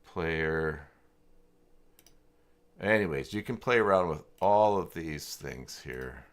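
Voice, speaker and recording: A man hosting a casual live software tutorial, thinking aloud in an informal, unscripted way as he works through the steps. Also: a bass voice, expressive, slow pace. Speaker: livestream host and software tutor.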